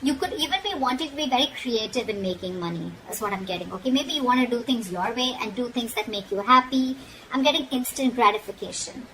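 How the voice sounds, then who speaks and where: A woman's voice: on the high side, fairly bright tone, rough recording. A woman giving an informal, frank tarot reading, speaking directly to the listener and often checking in as she admonishes. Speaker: tarot reader. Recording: noticeable background noise.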